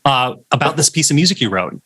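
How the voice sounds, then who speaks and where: A playful male host with a bright, forceful voice that sits at a middle pitch. He teases his guests and laughs easily. The sound is dry and close. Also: lively intonation, husky, no room sound. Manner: playful, joking, quick to laugh. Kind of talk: casual interview chat.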